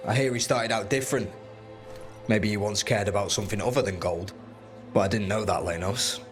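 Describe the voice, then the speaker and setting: An actor playing a wry, blunt rebel comrade in a video game. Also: male voice, lowish voice, a little fast, faint music behind, natural voice. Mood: wry, satisfied. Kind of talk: video game dialogue acting.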